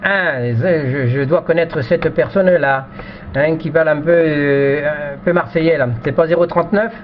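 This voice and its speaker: A man with a slightly high voice chats casually over amateur radio. He repeats himself and often tags his phrases with "hein". The sound is dull and lo-fi, with light background noise. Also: moderate pace. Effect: two-way radio sound.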